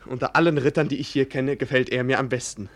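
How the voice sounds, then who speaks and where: A man's voice: mid-range voice, steady tone, quick pace, natural voice. An actor as an earnest, dutiful young nobleman. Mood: earnest, open. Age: young adult. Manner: earnest, formal, respectful. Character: dutiful, open-hearted young nobleman, obedient son. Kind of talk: 1940s German radio play acting.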